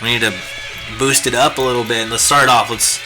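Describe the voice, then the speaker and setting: A hoarse, raspy man chatting casually and rambling with lots of fillers while he draws on a live stream. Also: medium pitch, steady tone, brisk, rough recording. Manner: laid-back, rambling, many fillers. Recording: music playing behind the voice. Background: guitar music.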